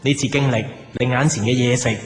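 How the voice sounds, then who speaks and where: A man's voice: mid-range voice, steady tone, brisk, natural voice, low-quality recording. A man with a Hong Kong Cantonese accent playing a wistful, struggling café owner who narrates a reflective, melancholy audio drama. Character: wistful struggling café owner recalling his lost wife. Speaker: lead actor and narrator. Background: music behind the voice.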